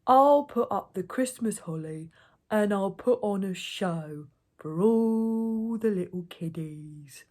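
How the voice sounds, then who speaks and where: A woman voicing a huge storybook ogre who talks in a halting, drawn-out way, slyly pleading innocence. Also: medium pitch, animated, mellow. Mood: wheedling.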